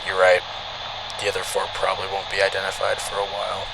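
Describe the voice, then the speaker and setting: A man acting as a steady, stubborn park ranger in a horror drama, matter-of-fact and insistent. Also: deep voice, fast, creaky, heavy background noise. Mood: insistent, reasoning.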